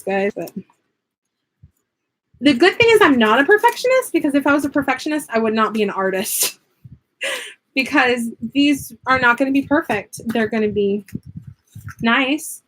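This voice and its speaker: An American woman with a slightly higher voice talks through her crafting in a loose, chatty way. She laughs easily and wanders off on tangents, like a vlogger talking to her viewers. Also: slight background noise, poor audio. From United States. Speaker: craft vlogger and online teacher. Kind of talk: casual chat while crafting.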